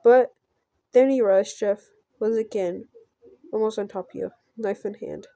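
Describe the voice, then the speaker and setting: A woman doing a casual let's play, reading a visual novel aloud haltingly and breaking off for offhand reactions. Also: mid-range voice, mellow, no echo.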